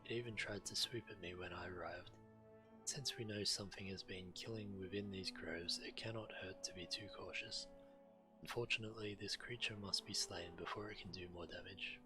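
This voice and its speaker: Man voicing a deep-voiced courteous fantasy scholar. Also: steady tone, lightly acted. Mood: courteous, earnest. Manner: formal, polite, measured phrasing. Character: courteous male scholar visiting enchanted gardens. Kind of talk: fantasy game character dialogue. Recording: game music under the voice.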